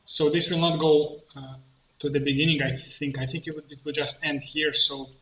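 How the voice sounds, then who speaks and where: A man with a fairly high, bright voice talks through a software tutorial step by step. His delivery is slow and halting, as if thinking aloud while he clicks. The recording is muffled and lo-fi. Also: little pitch movement. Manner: halting, instructional, narrating each click. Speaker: coach giving a software tutorial. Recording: muffled lo-fi, roomy echo, background noise.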